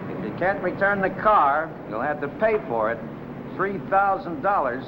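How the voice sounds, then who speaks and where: An actor playing a stern older boss in a 1950 film noir, insistent and menacing. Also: male voice, fairly high pitch, lively intonation, normal speed, lightly acted. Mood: insistent, pressing. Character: stern, scheming older male boss, coolly extorting. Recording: muffled, noisy old film soundtrack.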